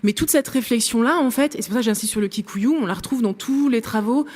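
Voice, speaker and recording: Woman, academic workshop remark, hesitant, fillers, fairly high voice. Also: quick pace, darkish tone. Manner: hesitant, many fillers, builds long argued sentences. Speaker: workshop participant.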